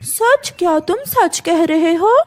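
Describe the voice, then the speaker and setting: A woman's voice: very high-pitched, animated, takes it slowly, full character voice. A woman voicing an innocent, kind-hearted little boy sparrow in a children's cartoon, earnest and plaintive. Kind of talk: children's animated story dialogue.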